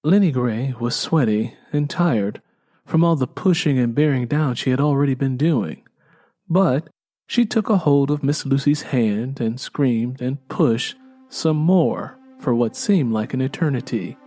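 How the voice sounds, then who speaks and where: A man's voice: mid-range voice, expressive, a little slow, warm tone, faint music behind. A man reading scripted fiction as an audiobook narrator.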